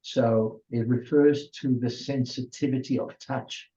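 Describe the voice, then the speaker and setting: Slow, mellow-voiced older man lecturing. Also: medium pitch, fairly even intonation. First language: Hungarian. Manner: unhurried, with many restarts and fillers. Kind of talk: informal lecture. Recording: dull lo-fi recording.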